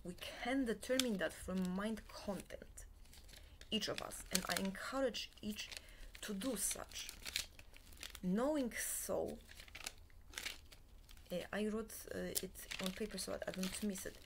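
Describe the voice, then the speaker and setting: A woman in her forties, a Polish native speaker, giving a halting, half-whispered talk into a laptop webcam in a small bed-and-breakfast room. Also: medium pitch, noisy background. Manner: halting, many filler pauses, often half-whispered. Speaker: self-help lecturer. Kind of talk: slow unscripted monologue to webcam.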